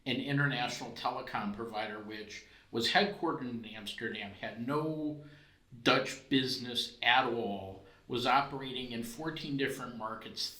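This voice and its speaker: A man's voice: mid-range voice, sharp tone, roomy sound, low-quality recording. A man hosting a podcast who talks in a discursive, conversational way with many asides. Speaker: podcast host. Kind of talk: conversational interview.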